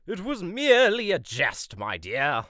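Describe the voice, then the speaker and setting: A man's voice: fairly low pitch, expressive, moderate pace, a bit bright, clear, resonant tone, dry recording. A young man playing an earnest, playful prince who speaks formally in a fairytale audio drama. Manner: formal, old-fashioned wording.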